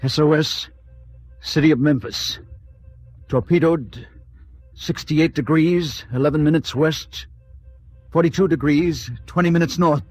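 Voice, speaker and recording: Ship's radio operator, higher male voice, very slow clipped delivery, urgent distress call, war radio drama. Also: a little mellow, dry recording. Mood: urgent, grim. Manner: spelled-out, clipped, deliberate phrases.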